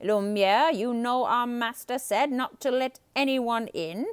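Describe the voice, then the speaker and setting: A woman's voice: a little high, a little slow, smooth, voice pushed up, lightly acted. A woman voicing a fussy male talking clock with a scolding manner in a children's storybook.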